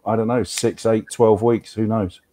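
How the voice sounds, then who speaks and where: An American man hosting a podcast, speaking casually with many pauses and repeated words. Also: fairly low pitch, flat delivery, dark tone, a little hiss or hum. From United States. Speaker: podcast host. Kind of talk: casual conversation.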